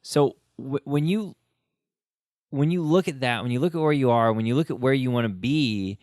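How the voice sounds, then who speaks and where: A man's voice: mid-range voice, moderate pace, darkish tone, no room sound, clean studio sound. A man hosting a casual interview, supportive and conversational, with rambling questions. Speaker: podcast host interviewing.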